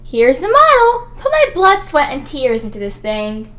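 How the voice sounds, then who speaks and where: A woman playing an eager, friendly student who loves cars in a radio drama, excitable, chatty and earnest. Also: high-pitched, measured pace, full character voice. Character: eager, friendly female student, keen car enthusiast.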